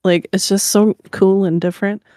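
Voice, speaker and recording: A woman's voice: mid-range voice, flat delivery, moderate pace, dark tone, no echo, clean studio sound. A woman co-hosting a podcast, chatting casually and informally about video games. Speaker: podcast co-host.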